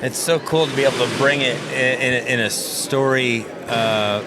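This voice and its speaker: A man hosting a podcast in casual conversation, halting and repeating words as he thinks. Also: medium pitch, steady tone. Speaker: podcast host. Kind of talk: casual interview chat. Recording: clear background noise.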